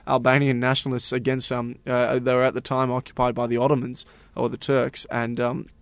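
Brief: male podcast co-host, mid-pitched, chatty and filler-heavy, dry close mic. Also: monotone, fairly quick, clear, resonant tone, no room sound. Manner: chatty, lots of fillers like "you know" and "uh". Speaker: podcast co-host presenting stories. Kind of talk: casual group chat.

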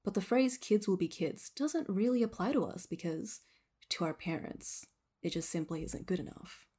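A woman with an Australian accent reading scripted documentary narration in a measured storytelling manner as the host. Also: fairly low pitch, lively intonation, mellow, breathy edge.

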